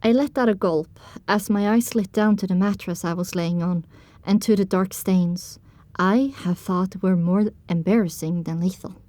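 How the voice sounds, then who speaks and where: A woman's voice: mid-range voice, normal speed, warm tone, no room sound, close to an everyday voice. A woman narrating an audio drama in the first person as a sensitive young pony filly, in a scripted storytelling style. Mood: anxious.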